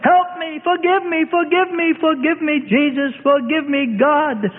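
A man's voice: extremely high pitch, fairly even intonation, unhurried, voice pushed up. A man playing an anguished, frightened fisherman disciple, desperate and pleading, in a dramatic religious story. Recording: tinny phone-like radio sound, long reverb.

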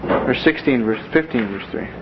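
A man's voice: lowish voice, expressive, moderate pace, vocal fry. A man teaching a Bible class in a classroom in a folksy way, with joking asides. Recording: dull lo-fi classroom recording with faint noise.

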